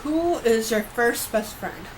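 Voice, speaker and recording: A woman's voice: on the high side, lively intonation, slow pace. Chatty young woman, noisy home vlog. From Korea, grew up in New York. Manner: chatty, many fillers and restarts. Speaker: YouTuber. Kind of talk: casual vlog chat.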